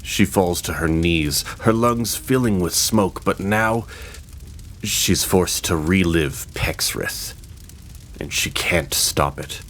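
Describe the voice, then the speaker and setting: A man narrating a fantasy audio drama, reading with expressive, dramatic pacing. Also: deep voice, takes it slowly, slight background noise. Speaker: storyteller.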